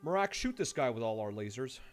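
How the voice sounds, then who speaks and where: Male streamer, lively intonation, casual and jokey off-the-cuff game commentary over soft music. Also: mid-range voice, a little fast. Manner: joking, off-the-cuff.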